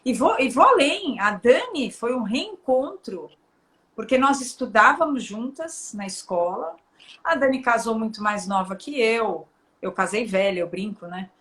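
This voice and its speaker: A woman chatting casually among friends, in a lively way with laughing asides. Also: a little high, a little slow. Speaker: live stream guest. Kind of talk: casual chat.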